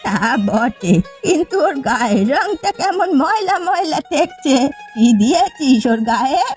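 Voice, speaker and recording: Cartoon old village woman played by a woman, indignant and doting, high, very animated delivery, faint music behind. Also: measured pace. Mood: fussy, doting. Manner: exclamatory, dramatic complaining. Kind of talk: animated folk-tale cartoon acting.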